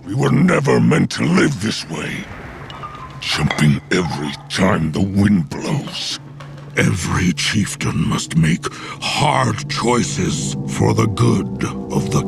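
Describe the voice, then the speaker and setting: Very deep, gravelly orc clansman. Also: animated, takes it slowly, over music, full character voice. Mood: bitter, brooding. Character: male orc clansmen, a grim chieftain and his son.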